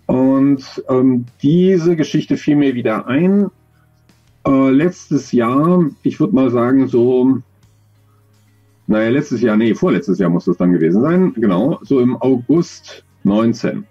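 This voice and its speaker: A man's voice: mid-range voice, lively intonation, takes it slowly, slightly rough, muffled recording, faint music behind. A middle-aged man giving thoughtful interview answers, hesitant and often searching for words as he explains. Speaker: interview guest, audio drama author. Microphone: video call, close to webcam.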